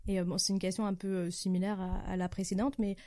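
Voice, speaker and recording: A woman's voice: mid-range voice, level tone, quick pace, dark tone, no room sound, clean studio sound. A woman interviewer asking questions in a casual, conversational way. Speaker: podcast interviewer.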